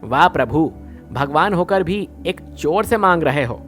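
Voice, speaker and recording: A man's voice: fairly high pitch, lively intonation, measured pace, fairly bright tone, faint music behind. Man acting a brash, grumbling village thief. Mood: bargaining. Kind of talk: devotional story dramatization.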